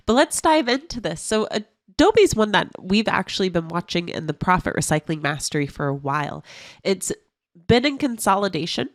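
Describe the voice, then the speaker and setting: A woman who sounds stuffy from a cold, walking through trading charts as an options analyst in a clear, explanatory way. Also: mid-range voice, no echo, clean studio sound.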